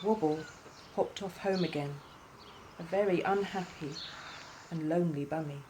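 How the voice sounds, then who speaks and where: A woman reading a scripted children's story in an expressive, measured way. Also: low-pitched, a little hiss or hum.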